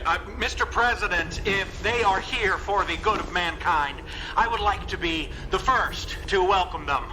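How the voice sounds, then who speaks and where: A man's voice: sits high, a little slow, over music. A man acting in a radio drama as an eager, self-important senator who speaks formally and puts himself forward. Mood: eager, earnest. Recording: noticeably noisy line with background ambience.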